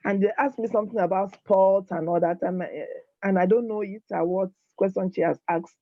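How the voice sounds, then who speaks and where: A woman student on an online class politely asking her tutor questions, hesitant and clearing her throat. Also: mid-range voice, steady tone, brisk, dry recording. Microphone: video call. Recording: dull, dry video call audio.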